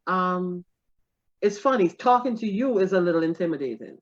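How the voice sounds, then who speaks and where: Lively woman comedian, lo-fi. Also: fairly low pitch, normal speed. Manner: animated, laughs often, self-deprecating. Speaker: stand-up comedian guest. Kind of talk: casual interview storytelling. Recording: dull lo-fi recording.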